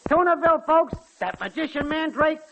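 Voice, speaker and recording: An actor playing a rough, lowbrow poet who theatrically recites ungrammatical doggerel about his passions, in 1940s radio comedy style. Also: lively intonation, fairly quick, a bit bright, highs cut off, heavily acted. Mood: excited. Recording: noisy, crackly 1940s radio broadcast.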